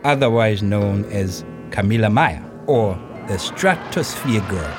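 An actor playing a proud circus troupe director, recounting a high-wire act in an audio play with suspenseful, emphatic pauses. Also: male voice, expressive, music in the background. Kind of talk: dramatic first-person storytelling in an audio play.

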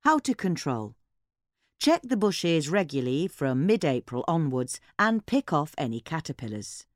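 A woman reading scripted informative gardening narration in a measured, clearly enunciated way. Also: low voice, no echo, studio quality. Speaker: podcast reader.

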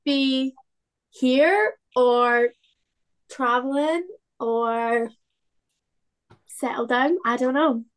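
A woman's voice: high-pitched, very slow, pauses often, smooth, low-quality recording. A twenty-year-old woman chatting casually as a guest, candid and unpretentious. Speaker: interview guest, young farmers club member. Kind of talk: casual chat.

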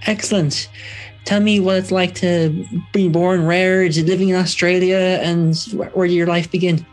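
A man's voice: high-pitched, little pitch movement, unhurried, faint music behind, low-quality recording. Male podcast host, casual interviewer.

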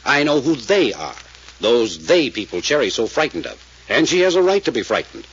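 An actor playing a shrewd, commanding detective who questions insistently in a clipped manner, in 1940s radio drama style. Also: male voice, mid-range voice, very animated, moderate pace, fairly bright tone, slightly rough. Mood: forceful, ominous. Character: commanding, shrewd male detective, relentless interrogator. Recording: muffled old radio recording.

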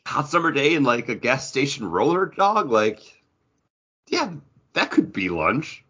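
A man hosts a casual podcast with a husky, forceful voice. His pitch moves around a lot as he chats and jokes, and the audio is slightly dull. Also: very animated, a little slow. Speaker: podcast host. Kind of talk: casual, joking chat.

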